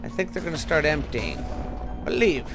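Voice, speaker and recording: A man's voice: on the high side, animated, moderate pace. A man hosting a gaming video, giving casual commentary and often questioning himself as he thinks aloud. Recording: music behind the voice. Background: background music.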